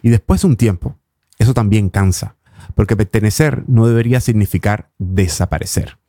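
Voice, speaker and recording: A man's voice: fairly low pitch, warm tone, no echo. Cuban man hosting radio, close and dry. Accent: Cuban Spanish. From Cuba. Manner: unhurried, deliberate, with long pauses. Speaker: radio host. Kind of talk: reflective music radio presenting. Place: radio studio.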